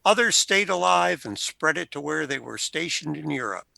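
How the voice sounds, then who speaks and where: An actor playing the ghost of a World War One veteran, telling his story slowly in an amateur radio play. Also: on the high side, animated, vocal fry, sharp tone, dry recording, exaggerated voice. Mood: grave.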